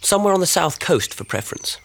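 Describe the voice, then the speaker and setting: A man's voice: high-pitched, very animated, fast talker, gravelly, slight background noise, exaggerated voice. An actor playing an easygoing young husband in a radio drama, casual but decided in his opinions.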